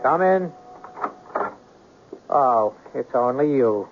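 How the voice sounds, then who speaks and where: Casting: stubborn, protesting lovelorn man in a radio drama, lively intonation, tinny noisy sound. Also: mid-range voice, drawn-out words. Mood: exasperated, stubborn. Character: stubborn, exasperated lovesick man, reluctant hero. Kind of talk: old-time radio drama acting. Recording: noisy, tinny narrow-band radio recording.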